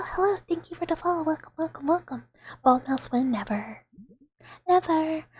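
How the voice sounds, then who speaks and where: A young woman streaming ASMR, whispering softly to her chat with giggles and playful squeaky outbursts, close to the microphone. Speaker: VTuber streamer.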